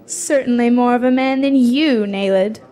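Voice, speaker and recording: A fierce young warrior , played by a woman, with a slightly high voice. She is proud and defiant, speaking in bold, blunt declarations as in a fantasy radio drama. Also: normal speed, warm tone, close to an everyday voice. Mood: proud, dismissive.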